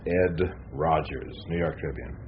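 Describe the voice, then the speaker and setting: An actor playing a sceptical, world-weary newspaper reporter in a radio drama, dry and laconic. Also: male voice, a bass voice, measured pace. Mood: polite, probing. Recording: slightly dull, noisy radio recording.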